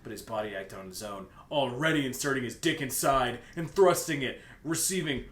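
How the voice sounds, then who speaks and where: A man's voice: brisk, a bit bright. A young man reading fan fiction aloud for laughs, mixing casual banter with hammy delivery and stumbling over words. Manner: giggly, stumbles over words, hams up quotes. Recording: echoey room with faint rumbling noise.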